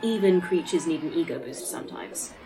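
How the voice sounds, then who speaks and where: An actress playing a polite, pedantic A.I. that speaks formally and precisely in a sci-fi audio drama. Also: female voice, deep voice, expressive, brisk, over music, rough recording. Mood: chirpy, pedantic. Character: polite, pedantic female A.I. running a facility. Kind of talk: audio fiction acting.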